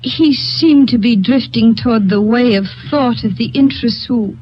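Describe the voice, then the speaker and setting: A woman's voice: a little high, normal speed, faint music behind, natural voice. An actress playing a nervous European émigré woman. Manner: formal, hesitant, carefully spoken. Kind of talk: 1950s radio drama acting. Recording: dull old radio broadcast.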